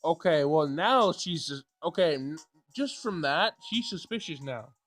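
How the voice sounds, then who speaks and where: A man doing a casual game playthrough, reading dialogue aloud and thinking out loud in informal, slangy language. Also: a little high, animated, a little slow. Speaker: let's play gamer.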